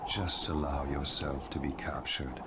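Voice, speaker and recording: A man voicing a calm, cold, godlike villain in an anime video game, speaking solemnly and deliberately. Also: rumbling low, very noisy. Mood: cold menace. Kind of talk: English anime game voice acting. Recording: loud music behind the voice.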